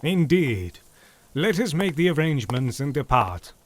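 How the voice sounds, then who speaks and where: An actor playing a wise, commanding elderly doctor who leads a vampire hunt, speaking in a measured, formal way in an audio drama. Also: male voice, on the high side, very animated, slight background noise. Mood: resolute. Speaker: party leader planning the hunt.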